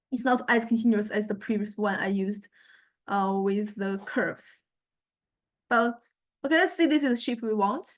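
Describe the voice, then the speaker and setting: A woman giving an informal software demonstration as a lecturer, thinking aloud in a halting, self-correcting way. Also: medium pitch. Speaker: music technology lecturer.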